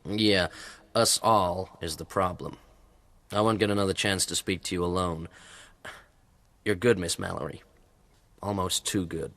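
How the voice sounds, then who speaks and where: Deep, gravelly investor. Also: male voice, fairly even intonation, a little slow, long pauses, fairly bright tone, no room sound. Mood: probing. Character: brash, wealthy male investor, awkwardly flirtatious deal-maker. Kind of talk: radio drama acting.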